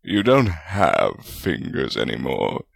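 A man's voice: a bass voice, wildly swooping pitch, slow pace, creaky, husky, dry recording, studio quality, pitched below the performer's own voice, exaggerated voice. A man voicing a hearty, stubborn, teasing male wizard spirit with a jovial manner in a storybook reading. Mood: amused.

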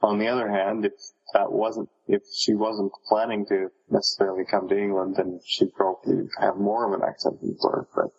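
A man's voice: lowish voice, completely flat delivery, normal speed, creaky, breathy edge. A man co-hosting a casual book discussion, musing aloud in a tentative way. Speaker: podcast co-host. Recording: dull, echoey lo-fi sound; long echoey reverb.

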